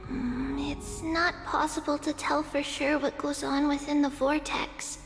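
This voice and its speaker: A woman's voice: high-pitched, flat delivery, a little slow. A woman voicing a small, childlike girl seer in a game dub, earnest, anxious, hesitant and delicate. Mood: uncertain. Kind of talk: English game dub voice acting. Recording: long reverb, background music.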